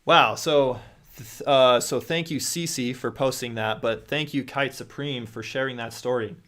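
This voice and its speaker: A man's voice: mid-range voice, measured pace, a bit bright. A young man hosting a livestream at home, reading viewer stories aloud into a close studio microphone with frequent restarts. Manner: reads aloud with repeated restarts and reactions. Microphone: studio mic on boom near face.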